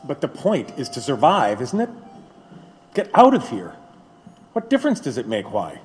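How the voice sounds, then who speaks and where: An actor in an audio drama playing a pragmatic friend who urges compromise, urgent and persuasive. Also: male voice, medium pitch, wildly swooping pitch, normal speed. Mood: urgent, pleading. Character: pragmatic, pleading male friend urging compromise. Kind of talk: dramatic audio play acting. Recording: long reverb, music underneath.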